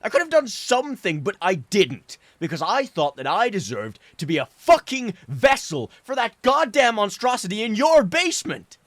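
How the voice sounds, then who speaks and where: A man reading a story, voicing a tormented young man who stammers and shouts at his father through tears. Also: high voice, sing-song, normal speed, few pauses, no room sound, voice pushed up, exaggerated voice. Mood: anguished shouting. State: crying. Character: tormented young man, broken, furious, sobbing son.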